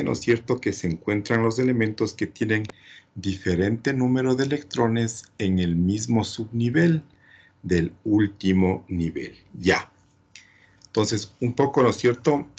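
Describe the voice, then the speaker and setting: Male teacher. Unhurried, husky delivery, didactic, checks understanding with short tag questions. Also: on the low side, a little mellow. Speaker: chemistry teacher.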